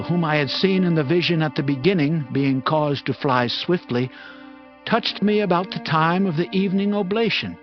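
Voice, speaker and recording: A man's voice: fairly high pitch, close to an everyday voice. An actor playing a devout, humble prophet in a dramatized scripture reading, earnest and reverent as he declaims. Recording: slightly dull sound, music underneath.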